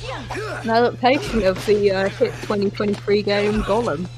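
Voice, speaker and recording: Woman podcast host riffing on a movie, dry mocking jokes, medium pitch, film sound going behind her. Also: expressive, normal speed, over music, poor audio. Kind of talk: casual movie reaction commentary.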